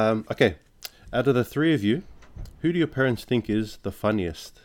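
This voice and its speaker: Male quiz host, low register, easygoing and halting. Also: animated, darkish tone. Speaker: podcast host running a family quiz. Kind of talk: casual quiz hosting.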